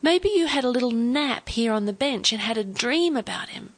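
A woman reading a story as a caring young granddaughter, gentle, anxious and hesitant. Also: fairly high pitch, animated, moderate pace, husky, voice pushed up.

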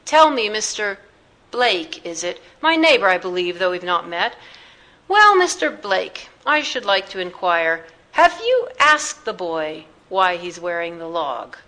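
Woman doing a loud booming circus boss man, swaggering, mock polite, bright punchy voice. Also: big pitch swings, unhurried, heavily acted. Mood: amused, commanding. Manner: booming, theatrical, teasing politeness.

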